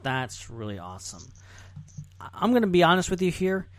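A man's voice: high-pitched, very animated, a little fast, no room sound. A man hosting a casual podcast chat, talking in an effusive, affirming way. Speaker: podcast host.

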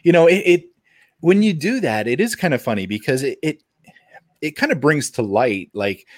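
A man's voice: on the high side, expressive, moderate pace, no echo, clean studio sound. A man co-hosting a podcast in casual banter, with an enthusiastic manner. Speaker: podcast co-host.

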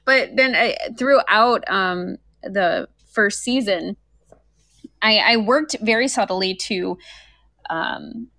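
Bright-voiced woman, informal and self-deprecating. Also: mid-range voice, slow, no room sound. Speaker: voice actor. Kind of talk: casual panel discussion.

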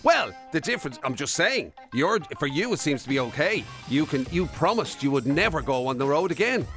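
Animated Irish man bantering over faint music. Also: fairly high pitch, a little fast. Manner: teasing, mock-indignant, exaggerating. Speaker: podcast and radio host. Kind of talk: casual comic banter.

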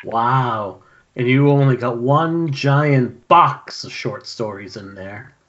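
A man playing a cynical male villain who needles and goads, with a medium-pitched voice, in an amateur table read. The audio is dull, like an internet call. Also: takes it slowly, a bit bright, a little hiss or hum. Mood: sardonic. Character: cynical, goading hallucinated male horror villain.

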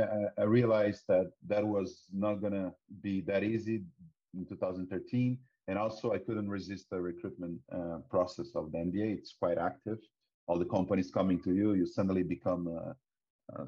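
A man's voice: sits low, warm tone, breathy edge, muffled recording. A man from Brazil with a Brazilian accent, a startup co-founder answering interview questions with frequent restarts and repeated words. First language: Portuguese. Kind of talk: podcast interview.

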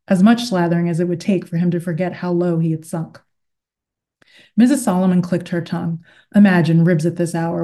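Low-pitched woman with a mellow voice. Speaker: novelist and guest author. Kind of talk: interview talk and read-aloud of literary fiction.

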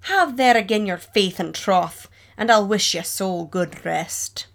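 A woman's voice: mid-range voice, a little slow, creaky. A woman voicing a lovesick young woman in an old ballad, with a put-on Scottish accent and lilting, pleading, archaic delivery. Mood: bitter farewell. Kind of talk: dramatic recital of an old ballad.

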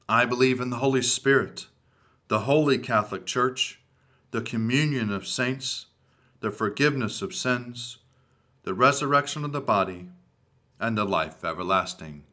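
A man with a fairly low but bright, forceful voice leads the creed and the Lord's Prayer. He recites them steadily and devoutly, line by line, as a prayer leader would.

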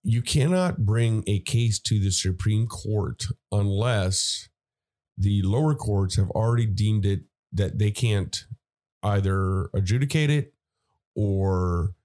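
A man co-hosting a casual comedy podcast, talking in a deadpan way and explaining things plainly. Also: on the low side, slow pace. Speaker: podcast co-host.

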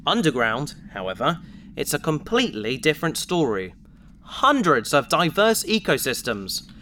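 A man's voice: fairly high pitch, sharp tone, a little hiss or hum, exaggerated voice. A man playing an earnest, bookish, idealistic space adventurer, explaining with enthusiasm in a sci-fi audio drama. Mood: eager explaining.

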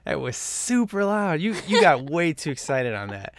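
A man's voice: on the high side, sing-song, normal speed, dry recording, clean studio sound. Chatty man reviewing a movie. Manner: rambling, enthusiastic, many fillers and restarts. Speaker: podcast co-host reviewing a film. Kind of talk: casual movie-review chat.

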